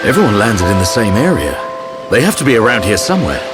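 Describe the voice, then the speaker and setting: A man's voice: on the low side, sing-song, fast talker, music in the background. A man playing a protective adult warrior and older brother in a video game cutscene. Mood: calm, wry.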